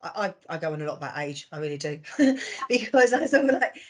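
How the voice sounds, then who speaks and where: A woman's voice: low voice, sing-song, quick pace, crowd noise. A woman in her fifties talking on a roundtable panel, often stumbling over and restarting her words. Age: 50s. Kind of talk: casual panel discussion.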